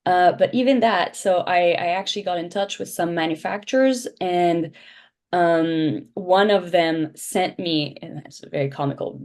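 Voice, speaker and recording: A woman's voice: fairly low pitch, unhurried. A woman whose first language is French, talking casually and animatedly as a podcast guest about founding her shoe brand. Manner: animated, chatty, laughs often. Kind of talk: casual interview chat.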